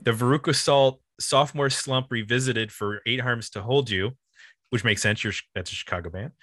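Male podcast host, American, bright forceful voice, dry close sound, casual delivery. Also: medium pitch, normal speed, dry recording. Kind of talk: casual podcast chat and announcements.

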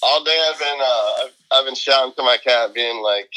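A man's voice: medium pitch, normal speed, background noise. A young man chatting as a podcast guest. He is easygoing and hesitant, laughs a lot and trails off mid-sentence. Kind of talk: casual chat.

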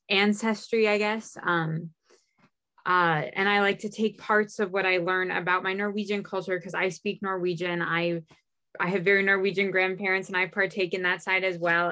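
A woman's voice: on the low side, a bit bright. A young woman in casual conversation, talking with enthusiasm and frequent fillers and restarts.

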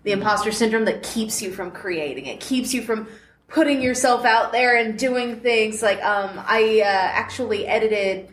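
A woman's voice: a little high, normal speed. Chatty laughing woman in a roomy hall. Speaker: podcast host, interview guest. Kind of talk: casual interview chat. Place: convention panel room. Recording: roomy echo, faint noise.

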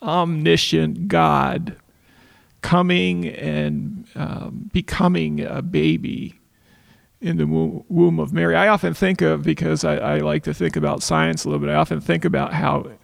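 A man with a raspy voice, co-hosting a faith podcast in casual discussion, thoughtful and restarting his sentences often. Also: fairly high pitch, fairly even intonation, measured pace. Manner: thoughtful, searching for words with frequent restarts. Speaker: podcast co-host.

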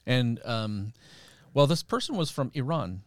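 A man's voice: medium pitch, lively intonation, measured pace, no echo, clean studio sound. A middle-aged man in an interview, telling personal stories in a conversational way and acting out bits of dialogue. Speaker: missionary guest.

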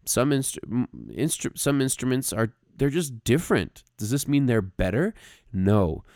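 A man's voice: medium pitch, animated, moderate pace, a little mellow, no echo, studio quality. A man co-hosting a podcast, casually explaining music with emphatic, stop-start phrasing. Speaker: podcast co-host.

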